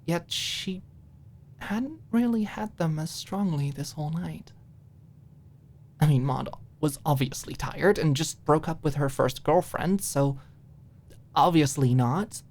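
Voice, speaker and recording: A woman narrating a story as a scripted audiobook, in a soft, expressive storytelling manner. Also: deep voice, slow pace.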